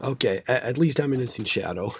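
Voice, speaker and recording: Hoarse man recapping episodes, halting. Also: medium pitch, animated. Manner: halting, many fillers and restarts. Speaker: podcast co-host. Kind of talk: casual chat recapping episodes.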